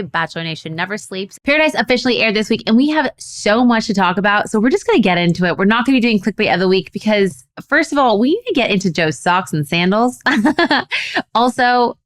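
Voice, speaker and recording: A woman's voice: mid-range voice, a bit bright, no echo. Upbeat woman podcast host, dry studio sound. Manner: upbeat, laughing, quick talker. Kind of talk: casual podcast chat.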